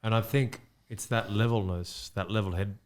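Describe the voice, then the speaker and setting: Low-voiced man hosting a podcast. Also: fairly even intonation, moderate pace. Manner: supportive, reflective, frequent agreeing murmurs. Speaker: podcast host. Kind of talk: casual podcast interview.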